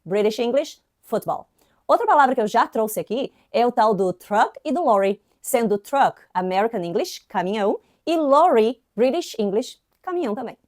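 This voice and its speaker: A young woman from southern Brazil speaks with an inland Santa Catarina accent, chatting through an English lesson in a relaxed way. Her voice sits a little high, and it is close and dry with no room sound. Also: moderate pace, no echo. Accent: Santa Catarina inland Brazilian Portuguese. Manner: chatty, frequent "né" tags, lively emphasis. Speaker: English teacher. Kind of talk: casual lesson to camera.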